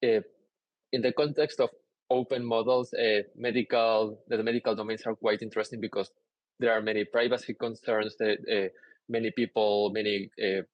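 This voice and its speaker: Low-voiced man giving a technical talk. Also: moderate pace, dry recording, lo-fi sound. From Peru. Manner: many "uh" fillers, relaxed and explanatory. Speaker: conference speaker. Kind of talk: technical talk over slides. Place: indoor room, on a video call.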